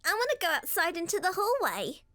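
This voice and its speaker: A woman's voice: very high voice, huge pitch swings, brisk, bright, forceful tone, no room sound, exaggerated voice. A woman voicing a manipulative, coaxing little-girl doll in a horror radio drama. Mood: sly, coaxing. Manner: coaxing, insinuating.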